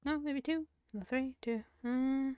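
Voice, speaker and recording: A young woman streamer with a croaky, sore voice, chatting casually and muttering to herself while she plays. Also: sits high, slow pace, dry recording, highs cut off. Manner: rambling, self-mocking, mutters to herself. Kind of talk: casual livestream chat while gaming.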